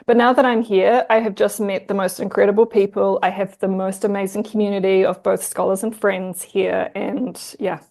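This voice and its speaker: A woman with a New Zealand accent, a guest historian chatting in an interview, lively and quick to laugh. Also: mid-range voice, gravelly. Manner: chatty, animated, laughs easily. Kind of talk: casual interview conversation.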